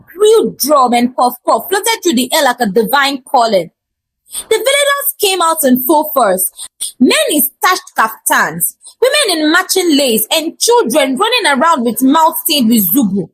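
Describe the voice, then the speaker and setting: A woman telling a scripted folktale in an expressive, theatrical and humorous storytelling style. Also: sits high, poor audio.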